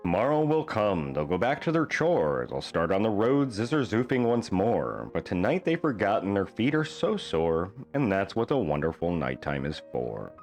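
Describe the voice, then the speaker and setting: A man reading a rhyming children's bedtime story aloud in a playful, theatrical manner with deliberate phrasing. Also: sits low, big pitch swings, soft background music. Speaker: storybook reader.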